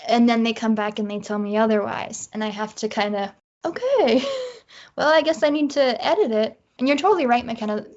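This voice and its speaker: A woman with a fairly high voice talks casually as a writer being interviewed. She is self-deprecating and voices her own thoughts in quoted asides. The recording is slightly dull and lo-fi. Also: sing-song, moderate pace, a little mellow. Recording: dull, lo-fi audio.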